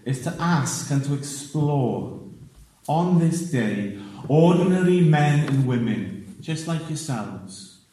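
Welsh male preacher, earnest and emphatic, somewhat high voice, heard from a distance in a very echoey church. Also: dark tone. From South Wales. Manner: earnest, building to emphatic declarations. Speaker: visiting preacher. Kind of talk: sermon. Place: church sanctuary.

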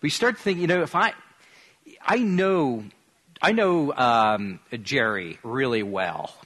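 Bright, forceful man teaching haltingly. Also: mid-range voice, animated. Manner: halting, repeats and rephrases phrases. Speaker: pastor teaching his congregation. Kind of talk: informal church teaching on evangelism.

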